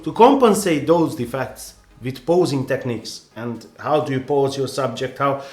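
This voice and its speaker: A man's voice: a little high, echoey room. A man with a Hungarian accent, a native Hungarian speaker, giving earnest, rambling photography advice with many restarts and "you know"s in a casual vlog.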